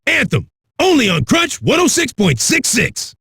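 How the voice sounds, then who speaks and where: Raspy, amped-up metal radio DJ. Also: male voice, very high-pitched, huge pitch swings, a little slow, sharp tone, dry recording, clean studio sound, heavily acted. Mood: hyped swagger. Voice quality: raspy, gravelly. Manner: aggressive, rowdy, swaggering delivery. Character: brash, hyped-up, foul-mouthed male metal radio DJ. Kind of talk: high-energy rock radio DJ patter.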